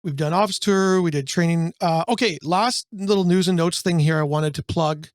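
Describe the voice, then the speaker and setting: A man co-hosting a real estate brokerage podcast in a casual chat, rambling with fillers, his voice a little hoarse from a cold. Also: a little high, moderate pace, no echo, studio quality. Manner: rambling, searching for words, many fillers.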